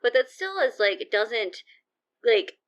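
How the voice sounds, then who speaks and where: A woman's voice: fairly high pitch, very animated, measured pace. A young adult woman co-hosting a podcast, rambling casually with lots of filler words and some swearing. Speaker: podcast co-host. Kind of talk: casual chat. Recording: slightly dull lo-fi audio.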